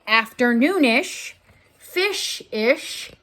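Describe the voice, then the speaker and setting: A woman teacher reading a picture book aloud expressively, pausing to put gentle, thoughtful questions to her young listeners. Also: fairly high pitch, animated. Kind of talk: expressive children's read-aloud with asides to listeners.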